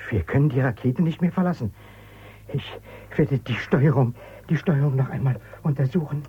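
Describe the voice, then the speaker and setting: A man's voice: mid-range voice, moderate pace, husky. An actor playing an anxious man stranded by a rocket crash, speaking earnestly in a radio drama. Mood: despairing. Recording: dull, lo-fi radio sound.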